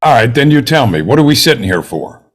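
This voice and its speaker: A man's voice: medium pitch, very animated, quick pace. A man playing a brusque, scornful, impatient juror in a table read of a stage drama. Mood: irritated. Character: impatient, scornful, bigoted male juror, blunt and dismissive.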